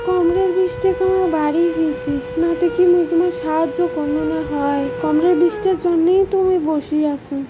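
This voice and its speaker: A woman's voice: extremely high pitch, fairly even intonation, normal speed, hardly any pauses, over music, poor audio. A woman playing an ageing, long-suffering mother-in-law in a family cartoon, with a Rangpuri accent from northern Bangladesh. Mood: plaintive. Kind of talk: comic family drama cartoon dialogue.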